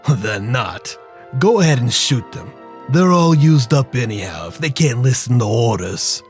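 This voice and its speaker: A man playing a coldly threatening, businesslike crime boss in a noir radio drama, speaking formally and deliberately. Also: medium pitch, lively intonation, faint music behind, close to an everyday voice. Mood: contemptuous.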